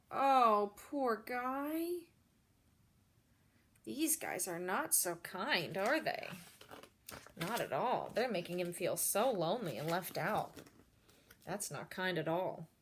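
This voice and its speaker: A woman reading a children's story aloud in an expressive way, pausing to address young listeners directly. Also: mid-range voice, slight background noise. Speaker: storybook reader.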